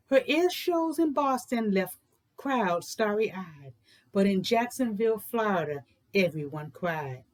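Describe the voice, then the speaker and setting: A woman host reading aloud for children with expressive, emphatic phrasing. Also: mid-range voice. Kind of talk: expressive picture book read-aloud in rhyming verse.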